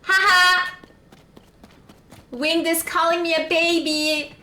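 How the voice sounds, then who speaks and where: Bright-voiced woman streaming games. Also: very high-pitched, little pitch movement, slow. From Quebec, Canada. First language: French. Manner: chatty and rambling, with frequent "like" fillers. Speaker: game streamer. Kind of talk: casual chat while gaming. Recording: echoey room.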